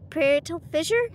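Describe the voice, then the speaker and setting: A woman reading classic fiction aloud in a slightly halting manner, as in an intimate audio roleplay. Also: very high voice. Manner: halting, stumbles over difficult words, giggly. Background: ambient sound effects.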